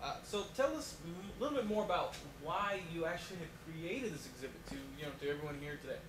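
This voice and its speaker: A man hosts a casual mock talk show, his voice sitting a little high. He rambles and pads every thought with fillers. The recording sounds roomy and echoey, with a loud hiss of noise underneath. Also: lively intonation, fast talker.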